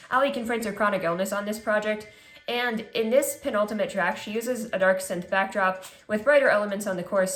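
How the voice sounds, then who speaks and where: A woman's voice: mid-range voice, a bit bright. A congested woman hosting a music ranking video, reading scripted commentary in a casual, slightly sardonic way. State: congested, stuffy nose. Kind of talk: scripted list commentary read aloud. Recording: echoey room.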